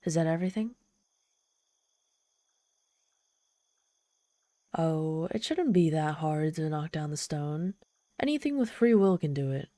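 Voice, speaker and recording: A woman's voice: low voice, lively intonation, moderate pace, warm tone, no room sound. A woman playing an ancient, proud but lonely demon woman in an ASMR roleplay, with a haughty, theatrical manner that hides vulnerability. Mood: earnest, eager. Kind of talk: ASMR audio roleplay, addressing the listener.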